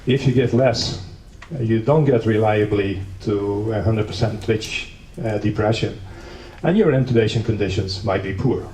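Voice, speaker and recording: A man's voice: fairly low pitch, moderate pace, a little mellow. A man from the Netherlands giving an academic conference lecture, explaining steadily with a touch of dry humor. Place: conference hall. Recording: echoey hall, distant mic, faint noise.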